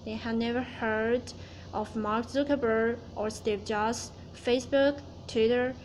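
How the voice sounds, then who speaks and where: A woman's voice: fairly high pitch, darkish tone, a little hiss or hum, rough recording. A woman student giving a prepared class speech, reciting it carefully and restarting words she stumbles on.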